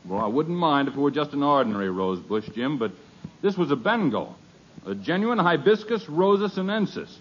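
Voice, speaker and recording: A man's voice: a little high, big pitch swings, normal speed, slightly rough. A man playing an apologetic, fussy American neighbor in a 1950s radio sitcom, complaining hesitantly. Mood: apologetic, aggrieved. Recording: dull old radio recording, faint hiss.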